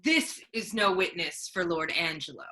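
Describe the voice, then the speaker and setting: A woman playing an imperious, sardonic duke in a Shakespeare reading, speaking in a deliberate, declamatory way. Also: lowish voice, bright, forceful tone. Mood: commanding. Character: imperious duke, a ruling man, sardonic judge.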